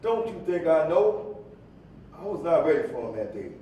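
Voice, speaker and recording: A man plays a teasing, slightly jumpy preacher in a stage play, bantering in everyday dialogue. His voice sits fairly high. It sounds distant in a very echoey hall. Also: very animated, moderate pace, dark tone. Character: famous civil rights preacher, playful, teasing, slightly jumpy. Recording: echoey hall, distant, faint rumbling noise.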